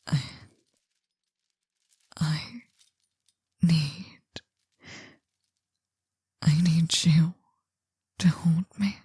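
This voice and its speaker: A woman's voice: low-pitched, no room sound, full character voice. A woman playing a possessive, world-weary older mafia boss in an intimate whispered ASMR roleplay, tender and confiding. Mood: needy, tender. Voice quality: breathy, soft whisper.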